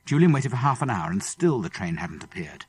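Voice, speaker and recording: Male British audiobook narrator, children's adventure; husky tone, very animated, expressive delivery. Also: lowish voice, a little fast. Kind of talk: scripted children's story narration.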